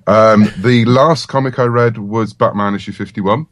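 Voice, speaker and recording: An English man with a fairly deep voice talks as an interview guest in a casual, enthusiastic way. The sound is dry, with barely any room on it. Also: fairly even intonation, moderate pace, fairly bright tone, dry recording. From England. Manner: enthusiastic, chatty. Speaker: comic book writer, guest.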